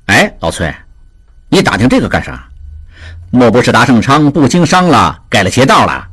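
A man voicing an excitable, easily rattled merchant in an audiobook, talking in fast, stammering exclamations. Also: medium pitch, husky, exaggerated voice. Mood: wary. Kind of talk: audiobook character voice.

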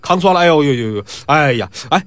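A man's voice: high voice, very animated, brisk, a bit bright, no room sound, full character voice. A man doing a groaning sly old drunk. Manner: groaning, exaggerated complaining.

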